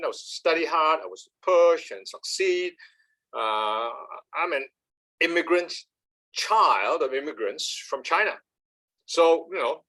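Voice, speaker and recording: An older man from Malaysia speaks with a husky, bright tone. His pitch rises and falls a lot as he talks. He gives an emphatic talk about meditation, often pausing on "uh" and asking "all right?". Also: poor audio. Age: 70s. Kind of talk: talk with slides.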